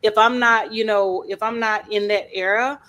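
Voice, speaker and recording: A woman's voice: medium pitch, fairly even intonation, normal speed, fairly bright tone. An older woman from Louisiana with a Louisiana accent and a nasal voice, co-hosting a radio talk show and giving emphatic, opinionated takes. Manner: emphatic, opinionated, repeats "things like that or whatever". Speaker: co-host.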